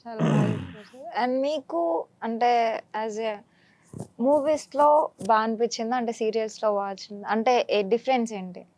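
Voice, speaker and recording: A woman's voice: on the high side, big pitch swings, moderate pace, darkish tone. A woman interviewing in a conversational, respectful and agreeable way, mixing English words into her speech.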